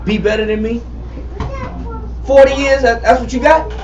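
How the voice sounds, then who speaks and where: A man in his forties teaching a Bible study, emphatic and repetitive, calling on readers and building to shouts. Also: very high-pitched, steady tone, moderate pace, noisy background. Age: 40s. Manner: emphatic, repetitive, calls for readings, rising to shouts. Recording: far-off echoey room, muffled and lo-fi.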